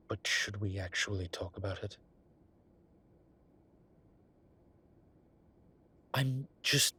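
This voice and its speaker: A man voicing a flirtatious, smitten demon professor in an intimate audio roleplay, husky and breathy, with halting, wry phrasing. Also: lowish voice, pushed and bright, full character voice. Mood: earnest, hesitant. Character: teasing, smitten male incubus demon professor. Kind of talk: intimate one-sided audio roleplay acting.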